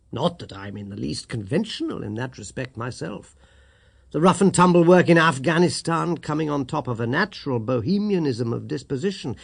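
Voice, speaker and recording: A man narrating a classic story as an audiobook reader, in an expressive, wry storytelling manner. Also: mid-range voice, vocal fry.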